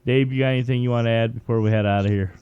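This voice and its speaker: A man's voice: mid-range voice, fairly even intonation, rapid-fire, smooth, lo-fi sound. A man in his forties hosting a casual online talk show, laughing often and stumbling through restarts as he wraps up. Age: 40s. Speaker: host. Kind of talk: casual podcast banter.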